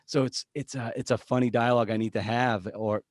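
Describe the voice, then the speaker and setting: A man's voice: mid-range voice, steady tone, a little fast, no echo, clean studio sound. A man talking casually as an interview guest on a podcast, thinking aloud and questioning himself as he goes. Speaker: podcast guest, journalist and memoir writer. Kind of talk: casual conversational interview answers.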